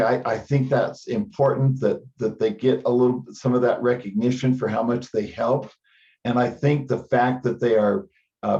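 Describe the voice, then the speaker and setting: A man's voice: mid-range voice, warm tone. A man speaking informally in a meeting, often repeating a word as he goes, over conference call audio. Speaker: teleconference participant. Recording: dull band-limited conference call audio.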